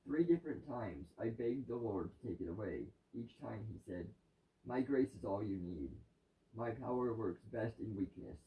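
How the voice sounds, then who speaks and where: A man reading a devotional scripture passage aloud in a halting way, stumbling now and then, recorded at home. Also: fairly low pitch, lively intonation, warm tone. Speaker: reader of a daily devotional. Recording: distant, roomy, dull lo-fi sound.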